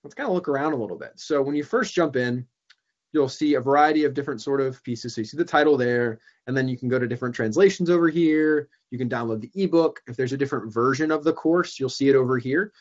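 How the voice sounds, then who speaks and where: A young man presenting a software training webinar over a video call, explaining in a casual way with many ums and uhs, and a bit hoarse from losing his voice. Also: medium pitch, fast talker, muffled recording. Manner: casual, filler-heavy explaining. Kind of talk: screen-share software demo. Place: small room indoors. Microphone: video call webcam.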